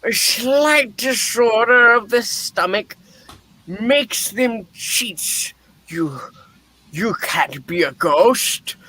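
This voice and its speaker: Old miser for radio play, male reader: grumpy, spooked, blustering, very slow and drawn-out. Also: high-pitched, expressive, pushed and bright, exaggerated voice, poor audio. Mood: defiant, blustering. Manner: stammering, blustering, exclaiming. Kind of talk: live play reading, hammy radio-play acting.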